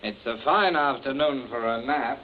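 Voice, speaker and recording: An actor playing a flippant, jaunty young son in a 1940s radio drama. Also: male voice, mid-range voice, normal speed, natural voice. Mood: breezy. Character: cheeky young adult son, flippant accomplice. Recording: tinny lo-fi archive radio with faint hiss.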